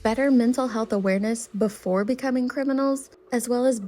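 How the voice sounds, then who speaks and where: A woman's voice: fairly high pitch, brisk, dark tone. A woman hosting a commentary channel, reading a scripted voice-over in an earnest, critical way. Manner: reads from notes, critical and earnest.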